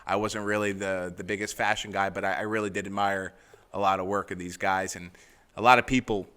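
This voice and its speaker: A man's voice: low voice, fairly even intonation, brisk, bright, forceful tone, smooth. A young man from Long Island, a guest on a casual chat show, laid-back and full of slang. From Long Island, New York. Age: young adult. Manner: laid-back, slangy, says bro and dude. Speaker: guest, realtor.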